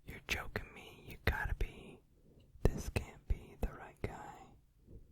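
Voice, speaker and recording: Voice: slow, dry recording, lightly acted. A performer whispering the part of a cruel, gambling male boogeyman who gloats in singsong. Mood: mocking. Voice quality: whispered, unvoiced. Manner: mocking, singsong. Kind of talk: whispered song cover, ASMR.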